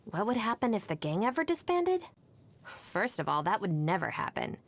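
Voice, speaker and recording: A woman's voice: mid-range voice, big pitch swings. An actress voicing a capable, no-nonsense young female gang deputy in a video game, matter-of-fact and dryly confident. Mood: dry, self-assured. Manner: matter-of-fact, composed, brisk. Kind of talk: video game character voice lines.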